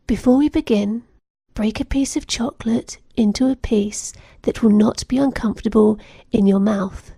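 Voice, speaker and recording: A woman leads a guided meditation, gently and soothingly. Her voice is breathy with a touch of fry at times, and she sounds close and dry, with no room around her. The instructions are calm and measured. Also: a little high, no room sound.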